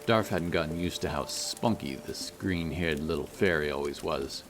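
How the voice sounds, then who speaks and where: Very deep-voiced man narrating fantasy. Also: moderate pace. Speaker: audiobook narrator. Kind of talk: scripted fantasy story narration. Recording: loud music under the voice.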